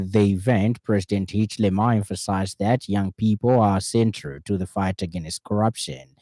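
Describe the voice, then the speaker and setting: A man with a Zambian accent reading a formal radio news bulletin in a measured, emphatic way. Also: fairly low pitch, mellow, dry recording. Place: radio studio. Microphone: close studio microphone.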